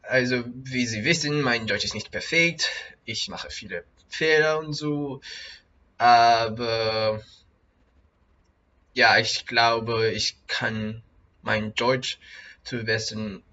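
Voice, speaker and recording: A man's voice: medium pitch, slow pace, sharp tone, highs cut off. A young man, not a native speaker, talking casually in a self-made video about why he is learning the language, hesitant with many restarts and fillers. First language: not German. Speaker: vlogger, German learner.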